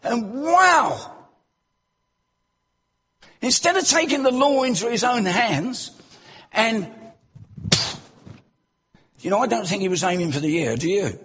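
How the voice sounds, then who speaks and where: A male preacher delivering a sermon in a church, his voice unusually high and very husky. He swings widely in pitch, rising into loud exclamations and dropping low for rhetorical questions to the congregation. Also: lively intonation, takes it slowly, pauses often.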